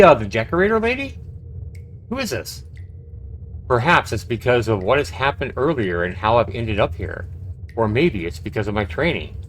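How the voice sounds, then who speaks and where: A man's voice: medium pitch, fairly bright tone, slight background noise. A man live-streaming a game, reading its text aloud and adding casual, reactive commentary. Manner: reactive, conversational.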